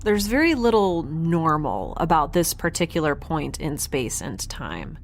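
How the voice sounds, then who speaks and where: A woman's voice: low voice, measured pace, slight background noise. An actress playing a quirky, stubborn young woman scientist in a spaceship audio drama, rambling and earnest. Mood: weary, threatening. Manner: rambling, babbling, animated, earnest.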